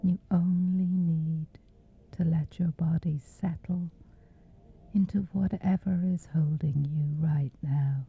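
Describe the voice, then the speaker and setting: A woman guides a sleep meditation in a soft, low whisper, lingering unhurriedly over every phrase. Her voice comes through thin and narrow, with gentle music playing faintly underneath. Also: slightly rough. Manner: slow gentle soothing delivery.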